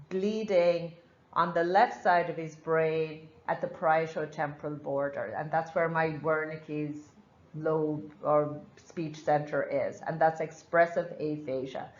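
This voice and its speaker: A woman with an Irish accent lectures a class in a lowish voice, frank and chatty as she explains things through examples. The sound is echoey and a little dull, like a classroom captured on a basic recorder. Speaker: nursing instructor.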